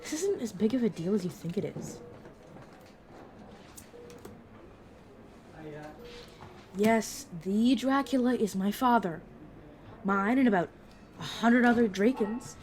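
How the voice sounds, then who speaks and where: A woman playing a brisk, protective vampire mentor in an audio roleplay, talking casually and teasingly to a new fledgling. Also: medium pitch, noisy background, natural voice. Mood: reassuring, wry. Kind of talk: narrative audio roleplay, addressed to the listener.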